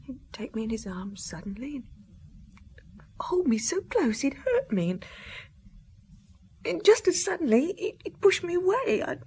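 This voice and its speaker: An actress playing a grieving, tearful French wife in a radio drama, halting and fragile, often near a whisper. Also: female voice, high-pitched, sing-song, a little mellow, a little hiss or hum, full character voice. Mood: sorrowful, wistful.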